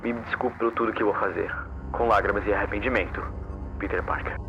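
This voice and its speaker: A man's voice: fairly low pitch, fairly even intonation, a little fast, highs cut off, music in the background, natural voice. A man voicing a grieving, guilt-ridden young man turning monster, reading a despairing confession in an analog horror story. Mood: despairing, remorseful.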